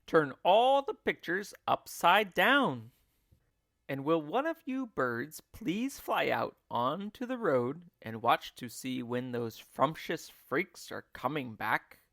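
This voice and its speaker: A man's voice: on the high side, sing-song, slow, a bit bright, dry recording, studio quality, exaggerated voice. A man voicing a bossy monkey leader from a children's storybook, urgent and commanding.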